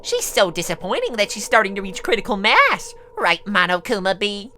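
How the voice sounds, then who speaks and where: A woman's voice: mid-range voice, sing-song, moderate pace, pushed and bright, slight background noise. A woman voicing a gleefully sadistic, theatrical teenage girl villain in an anime fan dub, taunting and melodramatic. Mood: mock concern. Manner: taunting, melodramatic, mock-sweet.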